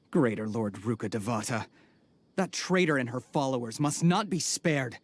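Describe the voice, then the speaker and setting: A man's voice: mid-range voice, animated, unhurried. Sneering zealous gang henchman. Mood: vengeful. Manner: sneering, boastful. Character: arrogant male gang henchman, menacing and zealous. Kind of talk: video game voice acting.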